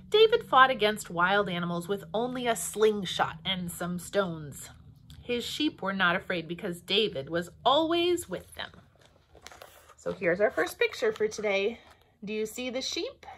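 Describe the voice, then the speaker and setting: A woman in her forties, a pastor hosting story time, speaking to young children in simple phrases with deliberate pauses. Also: medium pitch, fairly bright tone, slight background noise. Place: small room at home or office, indoors.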